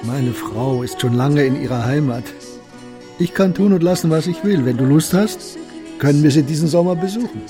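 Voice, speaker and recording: A man in a radio play playing a kindly, stubborn elderly shopkeeper with a wry, deliberate manner. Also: fairly high pitch, lively intonation, over music, close to an everyday voice. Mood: warm, determined. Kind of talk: German radio play acting.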